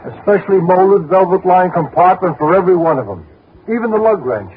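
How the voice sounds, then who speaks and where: A man's voice: sits high, animated, brisk. An actor playing a keen, helpful male crash examiner in a 1960s radio drama. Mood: keen, curious. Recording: tinny, noisy old radio broadcast.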